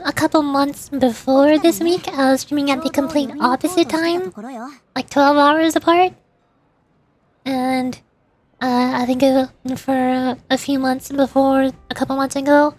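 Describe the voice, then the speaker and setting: A woman livestreamer chatting casually with viewers while gaming, in a playful, rambling way. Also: high voice. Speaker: livestreamer (VTuber) playing and voicing a game.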